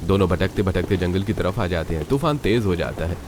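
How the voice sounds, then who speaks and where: A man's voice: sits low, expressive, fairly quick, noisy background. Man narrating a cartoon story.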